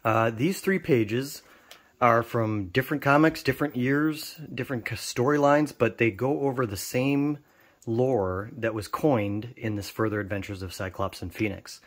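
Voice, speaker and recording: A man in his thirties, a comic art collector, giving a casual and relaxed spoken introduction with some fillers. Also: mid-range voice, normal speed. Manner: relaxed, plain talk with fillers.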